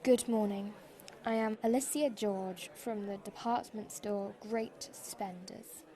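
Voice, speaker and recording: A woman's voice: mid-range voice, fairly even intonation, slow pace, mellow, close to an everyday voice. A woman playing a stern, exasperated department store boss in a fan audio drama, formal and condescending. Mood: businesslike.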